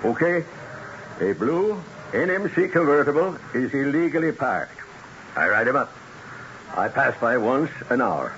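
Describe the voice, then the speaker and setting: An actor playing a tough, indignant beat cop in a 1970s radio drama, clipped and streetwise. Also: male voice, medium pitch, a bit bright. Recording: dull vintage radio with faint noise.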